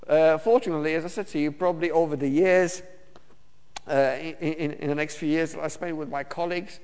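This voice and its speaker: A man from Romania, preaching a personal testimony sermon in a halting way, with many fillers and restarts. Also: a little high, steady tone, a little mellow, clean tone. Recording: long reverb of a hall.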